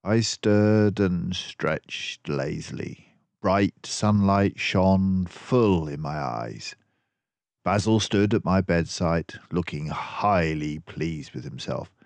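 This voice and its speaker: An older man reading a children's story aloud as narrator, expressively, with measured, dramatic phrasing. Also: sits low, expressive, creaky, no echo.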